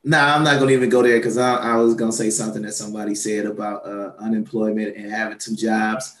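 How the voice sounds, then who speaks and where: A man's voice: fairly low pitch, little pitch movement, fast talker, fairly bright tone, echoey room. A man hosting a casual political talk show, his voice tired and worn, rambling with lots of fillers and restarts. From Atlanta, Georgia. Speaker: podcast host.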